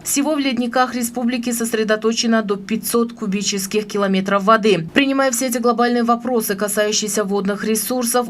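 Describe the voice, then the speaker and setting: A woman from Tajikistan reading scripted state news in a formal, steady official delivery. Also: medium pitch, slight background noise. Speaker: news presenter.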